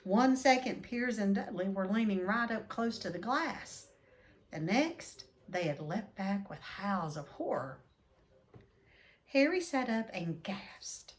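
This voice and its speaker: A woman reading a children's book aloud expressively, with deliberate dramatic emphasis. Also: medium pitch. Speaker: read-aloud storyteller.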